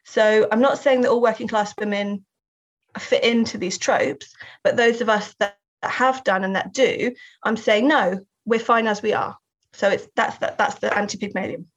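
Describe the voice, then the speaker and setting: A woman's voice: mid-range voice, steady tone, normal speed. A woman with a Southern English accent, talking thoughtfully as an interview guest, often laughing and restarting her sentences. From England. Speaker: guest artist and writer. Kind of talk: reflective interview talk. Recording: slightly dull lo-fi sound.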